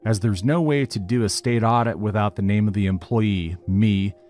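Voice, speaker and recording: A man narrating a written online story in a steady, even reading style. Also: fairly low pitch, normal speed. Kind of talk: scripted narration of a reddit post. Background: faint background music.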